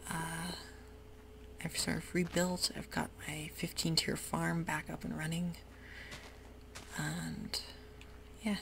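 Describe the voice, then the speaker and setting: A young woman whispering casual ASMR commentary while she plays a video game, talking in a soft, rambling way. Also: low-pitched, flat delivery, slow pace, long pauses, rough recording. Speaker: ASMR gaming channel host. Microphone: desk mic while gaming. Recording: rough home recording with audible hiss.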